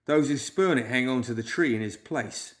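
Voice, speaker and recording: A man's voice: medium pitch, moderate pace, close to an everyday voice. A man voicing a frightened, nervous male clerk who whimpers and wails. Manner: whimpering, wailing.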